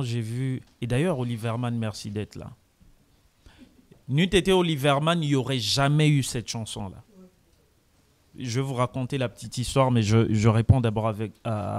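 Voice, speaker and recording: A man speaks at a press conference. His voice sits at a middle pitch, and he answers in a measured, reflective way, dropping Lingala phrases between his sentences. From Congo. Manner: mixes French with Lingala, reflective.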